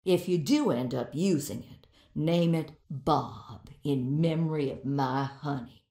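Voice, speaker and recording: A woman's voice: low-pitched, slow, warm tone. A woman playing a tough, blunt older widow in a video game, with a wry, folksy way of talking. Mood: fond, challenging. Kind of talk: video game character dialogue. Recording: roomy echo.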